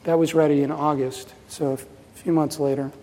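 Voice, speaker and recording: A man's voice: on the high side, flat delivery, brisk, dark tone. A man in his fifties giving a casual, rambling presentation at a pinball festival, full of fillers and restarts. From New Jersey, USA. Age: 50s. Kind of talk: conference talk. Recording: reverberant hall.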